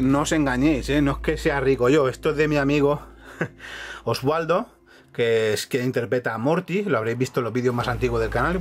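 A middle-aged man from Catalonia with a La Mancha accent, chatting enthusiastically to viewers as he walks through a room at home. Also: mid-range voice. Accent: La Mancha Spanish. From Catalonia, Spain. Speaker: YouTube host. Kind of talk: casual room tour vlog. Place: home room full of shelves, indoors.

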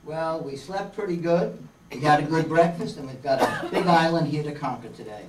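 Man playing eager, lightly high-voiced castaway boy. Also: moderate pace, close to an everyday voice. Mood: plucky. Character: Robbie, eager, plucky castaway boy sidekick. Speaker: amateur actor in a live radio-play reading. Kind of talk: 1930s children's radio adventure acting, read from script. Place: meeting room stage before seated audience. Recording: roomy, distant camera mic, faint noise.

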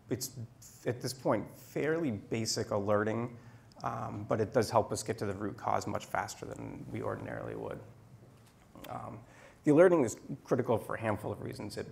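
Gravelly man giving a tech talk. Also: on the low side. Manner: measured, frequent ums and restarts. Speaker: conference speaker. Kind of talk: technical presentation. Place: meetup room, indoors. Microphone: handheld microphone.

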